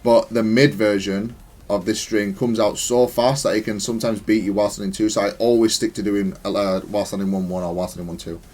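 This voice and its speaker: A man's voice: lowish voice, flat delivery, a little fast, darkish tone. A man giving matter-of-fact, analytical commentary on gameplay, thinking aloud in a stop-start way. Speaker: gamer explaining own match replay.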